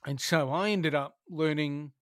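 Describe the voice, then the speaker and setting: A man in casual conversation, thoughtful and halting, often restarting his sentences. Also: on the high side, fairly bright tone, dry recording, clean studio sound.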